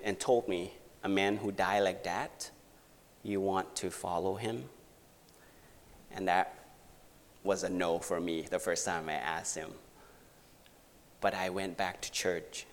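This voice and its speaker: A man with a Thai accent giving an earnest, halting testimony as a visiting preacher in a church. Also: low voice. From Thailand. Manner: halting, earnest, many pauses and fillers. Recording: reverberant hall.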